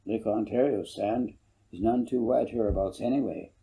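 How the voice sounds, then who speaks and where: A man's voice: fairly low pitch, moderate pace, creaky, warm tone, low-quality recording. A man voicing a folksy, good-humored older farmer who rambles and corrects himself, in a storybook reading. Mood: musing, amiable. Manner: rambling, homespun, self-correcting. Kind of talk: audiobook character voice.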